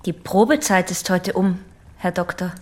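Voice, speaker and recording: An actress in a German radio drama playing a headstrong, resentful eighteen-year-old patient. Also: female voice, lowish voice, moderate pace, lightly acted. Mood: cool, challenging.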